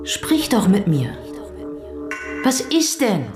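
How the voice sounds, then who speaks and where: A woman's voice: medium pitch, big pitch swings, slow. An actress playing a worried, insistent woman in a German radio play, urgent and probing. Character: worried, insistent woman pressing a troubled companion. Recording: music under the voice.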